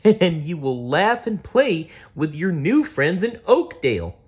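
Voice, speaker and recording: A man reading a storybook aloud and voicing a cheerful, gentle purple dinosaur in a high, sing-song, giggly voice. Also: very animated, a little slow, husky, full character voice. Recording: dull lo-fi recording.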